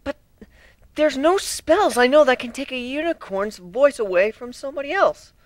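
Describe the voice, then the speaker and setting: Cartoon female unicorn scholar , acted by a story reader; flustered, eager, squeaky high. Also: lively intonation, normal speed, dry recording. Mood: baffled. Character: bookish, excitable female unicorn student.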